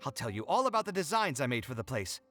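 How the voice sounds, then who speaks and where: A man's voice: medium pitch, very animated, quick pace, fairly bright tone, soft background music. An actor playing a proud, boastful young male architect in a game, with a showy, theatrical delivery. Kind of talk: game voice acting.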